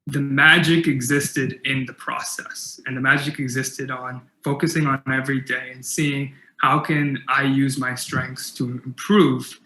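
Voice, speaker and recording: A young man speaks in an earnest keynote, with stuttered repeats and "um"s breaking up his phrases. His voice is very bright and forward, and it rings a little in an echoey room. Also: mid-range voice. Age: young adult. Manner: earnest, with stutter repetitions and fillers. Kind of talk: motivational keynote talk.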